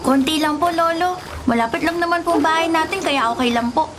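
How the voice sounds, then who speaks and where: A woman's voice: sits high, brisk, background noise, close to an everyday voice. A woman playing a polite, obedient little boy in a radio drama, with a Filipino accent and respectful manner. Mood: polite, reassuring.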